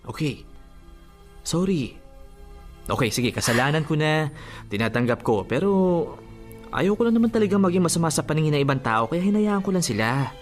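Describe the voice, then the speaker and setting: A man's voice: a little high, big pitch swings, normal speed, long pauses. A man with a Filipino accent playing a placating, affectionate young boyfriend who avoids conflict, in a radio drama with a coaxing manner. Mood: apologetic, tender. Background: background music.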